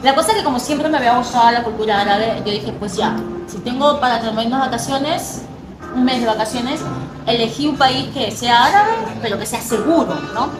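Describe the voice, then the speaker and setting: A young Peruvian woman with a Peruvian Spanish accent, chatting quickly and animatedly as an interview guest. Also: a little high, echoey room, over music, rough recording. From Peru. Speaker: guest, personal trainer. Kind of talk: casual interview.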